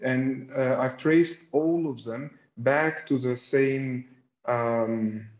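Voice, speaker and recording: A dull, roomy video-call recording of a man speaking as an academic. His pitch is middling, and he words his answers formally, pausing often as he goes. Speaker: academic researcher, lecture presenter. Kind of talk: scholarly discussion and question answering. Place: indoor room on video call. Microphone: video call, sitting back from camera.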